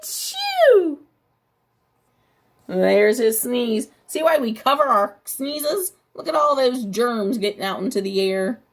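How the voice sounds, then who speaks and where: A woman, a preschool teacher, reading a picture book aloud to children, talking gently and asking them questions, with a gravelly, husky voice. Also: on the high side, unhurried, few pauses.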